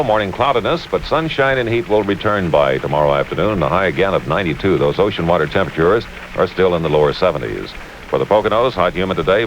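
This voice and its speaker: Casting: American man anchoring news radio, low voice, rapid weather read, faint hiss of old AM broadcast. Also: poor audio. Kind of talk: scripted news and weather reading. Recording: hissy 1970s AM radio aircheck.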